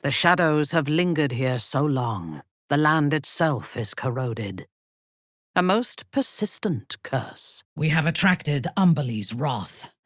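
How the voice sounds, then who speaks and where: A woman playing a haughty, commanding female warrior cleric with a husky voice, cruel yet intimate, in a fantasy video game. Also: sits low, lively intonation, no room sound, clean studio sound, heavily acted. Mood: cold disdain. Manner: commanding, haughty, deliberate, theatrical.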